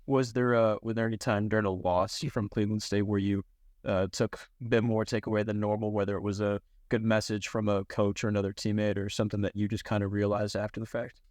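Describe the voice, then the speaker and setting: A man's voice: lowish voice, flat delivery, fairly quick, darkish tone, no room sound, studio quality. A man co-hosting a sports podcast, putting long, loosely phrased questions in a conversational way. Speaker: podcast co-host and interviewer.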